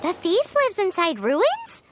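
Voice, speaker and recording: A very high, squeaky little fairy girl from a video game. She is excitable and cheeky, swinging widely in pitch as she exclaims, with prominent music behind her. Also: slow, full character voice. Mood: curious. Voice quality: squeaky, childlike. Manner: speaks of self in third person, exclaiming. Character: small excitable floating fairy girl companion, childlike and cheeky. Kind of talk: English video game voice acting.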